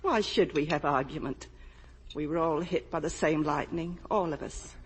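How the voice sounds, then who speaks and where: A woman's voice: sits low, moderate pace, lightly acted. An actress playing a stubborn middle-aged mother clinging to denial in a 1950 radio drama. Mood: motherly. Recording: reverberant old 1950 radio broadcast.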